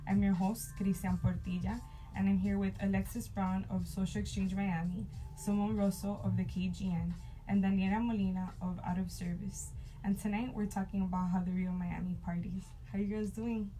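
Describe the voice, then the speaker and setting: Mellow, even-toned woman hosting. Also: medium pitch, moderate pace, soft background music, poor audio. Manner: upbeat, conversational, uses um and like. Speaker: host. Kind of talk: hosted talk show.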